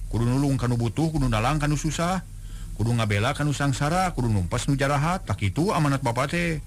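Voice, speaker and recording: A man voicing a friendly, talkative young village man in a told folk tale, speaking in an eager, lively way. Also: mid-range voice, fast, slight background noise, pitched above the performer's own voice, close to an everyday voice. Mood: earnest.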